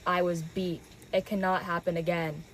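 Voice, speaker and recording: A woman playing a smug, self-assured investor, a scheming man with measured delivery, in an old-time detective radio drama. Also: on the low side, level tone, slight background noise, natural voice.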